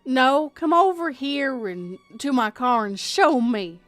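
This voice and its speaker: A woman's voice: sits high, lively intonation, normal speed, faint music behind, voice pushed up. A woman voicing a pushy, menacing male stranger with an American Southern accent, demanding and insistent, in a story reading. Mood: aggressive, demanding.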